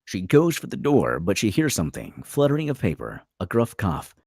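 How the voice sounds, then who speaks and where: A man's voice: lowish voice, huge pitch swings, normal speed, vocal fry, darkish tone, no echo, clean studio sound. A man narrating screenplay stage directions dramatically at a table read.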